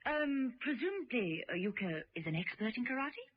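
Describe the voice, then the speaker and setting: A woman's voice: fairly high pitch, big pitch swings, moderate pace, breathy edge. A woman playing a cool, confident lady agent in a radio drama, with a British accent and an arch, teasing drawl. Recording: muffled vintage radio recording.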